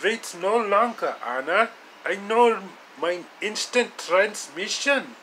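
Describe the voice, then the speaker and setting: A man's voice: high-pitched, expressive, takes it slowly, sharp tone, a little hiss or hum, pitched above the performer's own voice, full character voice. A man voicing an earnest, exclaiming young storybook hero who is eager to come to the rescue. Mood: determined. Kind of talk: storybook character voice.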